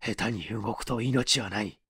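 A narrator voicing a resolute, composed man who speaks in quiet, firm commands. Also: mid-range voice, unhurried, breathy edge, no echo, clean studio sound, voice pushed down. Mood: grave, firm. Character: resolute, composed male detective-like hero.

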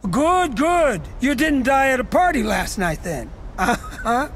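An actor playing a boisterous American war-veteran mercenary captain in a video game, talking like a profane football coach. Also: male voice, very high voice, big pitch swings, unhurried, a bit bright, a little hiss or hum, exaggerated voice. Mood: delighted. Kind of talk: video game cutscene acting.

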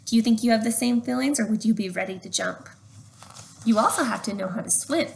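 A young woman doing an expressive read-aloud for children, with chatty questions to her listeners. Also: fairly high pitch, a little fast, creaky. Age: young adult. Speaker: storyteller reading to children. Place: open living room at home. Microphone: webcam at arm's length. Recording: slightly noisy home webcam recording.

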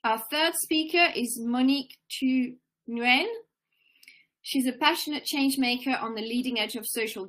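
A woman's voice: fairly high pitch, low-quality recording. A woman in her forties introducing symposium speakers on a video call, reading steadily from notes. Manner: steady, formal, occasional fillers. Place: home room with plants and shelves. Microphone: laptop webcam on a video call.

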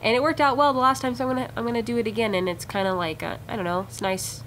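A young woman hosting a casual vlog, chatty and playful with quick asides, filmed close on a wide-angle camera. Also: on the high side, steady tone, fairly bright tone, a little hiss or hum. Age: young adult. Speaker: vlog host. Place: office lounge indoors.

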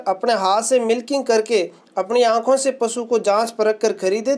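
Man narrating a livestock sale. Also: extremely high pitch. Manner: steady salesman patter listing praises. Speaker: channel host and livestock sale presenter. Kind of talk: voice-over sales narration.

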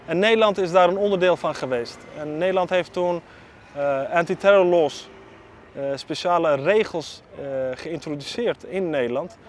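A middle-aged man with a Dutch accent giving personal testimony, hesitant and often pausing. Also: on the high side, a little hiss or hum. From Netherlands. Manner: hesitant, frequent pauses. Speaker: interviewee.

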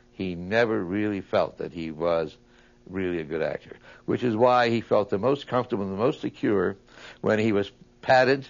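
A man giving thoughtful, reflective interview commentary, often restating his points with small asides. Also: on the low side. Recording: dull, muffled audio.

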